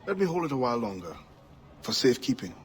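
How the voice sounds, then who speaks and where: An actor playing a proud, guarded assassin who was once enslaved, speaking in a grave, formal, wary way in a video game cutscene. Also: male voice, mid-range voice, very animated, fast, lightly acted. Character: proud, guarded freed-slave assassin, adult man.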